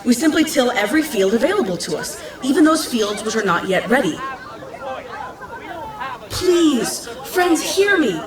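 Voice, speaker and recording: A woman's voice: fairly high pitch, sing-song, measured pace, echoey room, a crowd in the background. An actress playing a bold, clever young townswoman in an audio drama, speaking in an earnest, persuasive, impatient manner. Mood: earnest, urgent.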